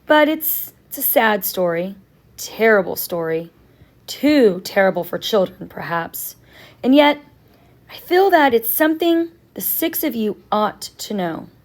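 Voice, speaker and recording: A woman voicing a quiet, reserved, sorrowful older male professor in a children's novel, hesitant and reflective. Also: medium pitch, very animated, a little slow. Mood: hesitant, grave.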